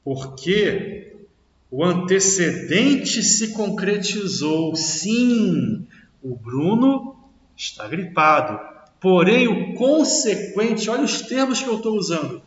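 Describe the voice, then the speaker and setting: A man with a Rio de Janeiro accent teaches a logic class. He talks in a lively way, asks the class questions and answers them himself. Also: high voice, slow. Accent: Rio de Janeiro Portuguese. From Brazil. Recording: echoey classroom, distant dull mic.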